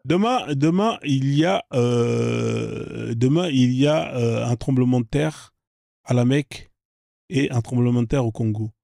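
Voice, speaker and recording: A man's voice: medium pitch, a little slow, vocal fry, dark tone, no echo, studio quality. A man hosting a live online debate, pressing his guest with repeated, insistent questions.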